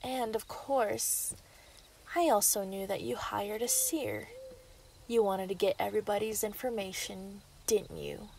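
Slow, menacing werewolf woman acted out by a woman. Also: mid-range voice, gravelly, darkish tone, slight background noise. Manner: taunting, drawn-out, laughing delivery with whispered threats. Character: menacing, gloating yandere female werewolf, possessive and mocking. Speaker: roleplay voice actor. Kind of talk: scripted ASMR roleplay acting.

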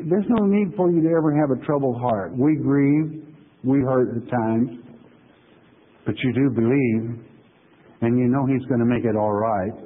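Guy preaching a laid-back bible lecture, bit husky, keeps asking "okay?", sounds like it's coming through a phone. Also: mid-range voice, narrow, tinny audio. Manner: folksy, conversational preaching with frequent "okay?" check-ins; reads verses slowly, then explains them plainly. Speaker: pastor. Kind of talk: sermon lecture on scripture.

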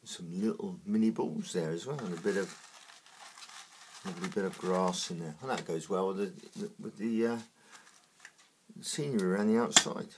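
A man with a croaky morning voice, talking through opening trading card packs in a slow, halting way with many fillers. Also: sits low, a little hiss or hum, low-quality recording. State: croaky, just woken up. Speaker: trading card unboxing host. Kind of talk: casual unboxing commentary.

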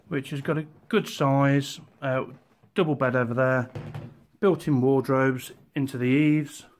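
Man, 40s, estate agent, walking house tour, steady informative delivery, handheld camera audio. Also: mid-range voice. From England. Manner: steady, informative, pointing things out as he walks. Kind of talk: property walkthrough tour. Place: walking through a house and garden.

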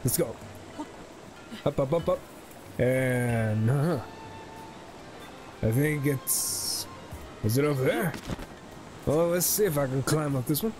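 A man's voice: mid-range voice, slow, long pauses. A man giving casual, slangy, playful commentary as he plays a video game. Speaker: gaming host. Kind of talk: casual let's play commentary. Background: game music.

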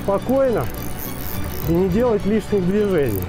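A man in his thirties talks in a calm, careful and fairly high voice while fishing from riverbank rocks. Music plays beneath his talk, which is heard outdoors. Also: normal speed. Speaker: fishing show co-host. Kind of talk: casual chat while fishing. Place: rocky riverbank by a dam, outdoors.

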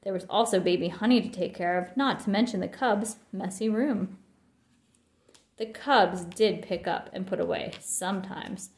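A woman's voice: mid-range voice, moderate pace, a little mellow, roomy sound. A woman reading a children's picture book aloud with expression. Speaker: storybook reader.

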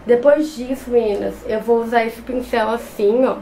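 A woman's voice: fairly high pitch, steady tone, moderate pace, warm tone, a little hiss or hum, low-quality recording. Young woman with a cold, chatty. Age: young adult. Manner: chatty, repeats words, hesitant restarts. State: has a cold. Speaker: beauty vlogger. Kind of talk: makeup tutorial talk. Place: small bedroom with shelves and a clothes rack. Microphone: camera close in front.

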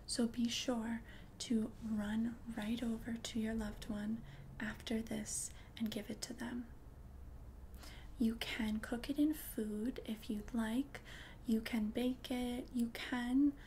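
Woman as a gentle ASMR witch, breathy whisper, very unhurried, slightly high pitch, noisy recording. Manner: slow, gentle, careful explaining. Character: calm, earnest witch selling love potions. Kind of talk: ASMR roleplay.

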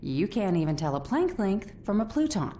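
A woman's voice: medium pitch, very animated, moderate pace, warm tone, a little hiss or hum, lightly acted. An actress playing a sultry, sassy female scientist in a video game, with seductive, sarcastic patter. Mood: exasperated, sassy. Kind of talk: video game cutscene acting.

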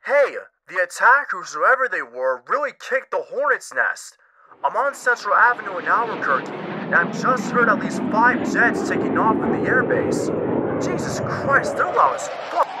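A man voicing an excited caller who reports jets overhead in an agitated, swearing way. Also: sits high, moderate pace, heavy background noise. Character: excited, foul-mouthed male caller.

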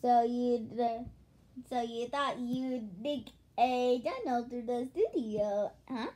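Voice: mid-range voice, lively intonation, slow pace. A young reader voicing a blustering, bullying, indignant guard from a comic storybook. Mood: angry, blustering. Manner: shouted insults, indignant.

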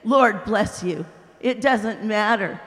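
A woman voicing a humble male spirit, speaking calmly and earnestly. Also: mid-range voice, close to an everyday voice. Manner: calm, earnest, slow. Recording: echoey hall.

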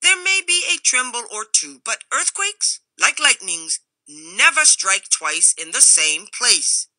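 A woman reading aloud voices a burly older bathmaster who rumbles and blusters, brushing off every warning. He sounds sure of himself and slow to budge. The recording is close and dry. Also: big pitch swings, pushed and bright, no room sound, full character voice. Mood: blustering. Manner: blustering, dismissive.

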